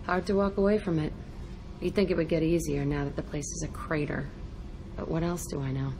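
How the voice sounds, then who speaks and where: Actress as bitter young female fighter, low-pitched, profane, defiant, video game cutscene, cavernous echo. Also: fairly even intonation, brisk, lightly acted. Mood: guarded, wry. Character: hardened, foul-mouthed young female biotic ex-prisoner, angry and wounded. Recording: echoey hall, clear background noise.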